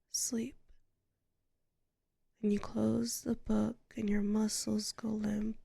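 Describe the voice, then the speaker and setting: A soothing female librarian hypnotist played by a woman murmurs a sleep ASMR roleplay right up at the mic. Her soft, breathy half-whisper is very slow and heavily creaky, in a dry space with no echo. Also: mid-range voice, exaggerated voice. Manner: slow, soothing, hushed, lingering pauses. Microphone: close microphone.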